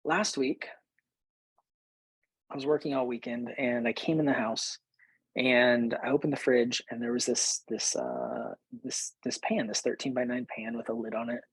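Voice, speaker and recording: Male host, late thirties, slightly husky and close-recorded, conversational storyteller with emphatic repeats. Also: mid-range voice, animated, normal speed, no room sound, low-quality recording. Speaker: host, business coach. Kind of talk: personal storytelling to listeners.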